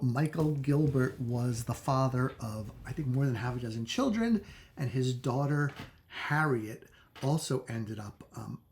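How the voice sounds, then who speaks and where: A man with a creaky voice hosting a casual book review, speaking in a halting, reflective way and stressing key words. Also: mid-range voice. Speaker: host of a book review channel.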